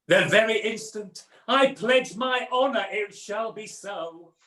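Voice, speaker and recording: A roomy home video-call recording of a man as a brash pantomime dame. The voice is high and unhurried, full of cheeky, theatrical patter for a woman selling potions. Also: bright, forceful tone, exaggerated voice. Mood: cheeky, bargaining. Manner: theatrical, bantering sales patter. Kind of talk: amateur pantomime acting with comic songs. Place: at home, indoors.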